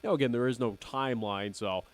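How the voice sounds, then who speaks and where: A young man hosting a radio show in casual chat, slightly raspy and nasal, rambling and repeating "again" constantly. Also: mid-range voice, fast. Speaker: radio host.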